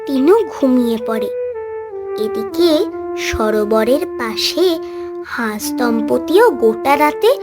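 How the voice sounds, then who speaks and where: A woman's voice: a little high, lively intonation, slow pace, long pauses. A woman reading scripted storybook narration for a cartoon. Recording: music behind the voice.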